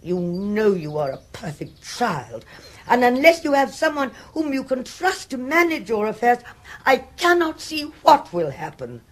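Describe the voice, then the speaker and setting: An actress playing an imperious, scolding aristocrat with an upper-class English accent and a husky voice, commanding and formal in a radio comedy. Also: female voice, fairly high pitch, very animated, a little slow. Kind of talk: radio comedy drama acting.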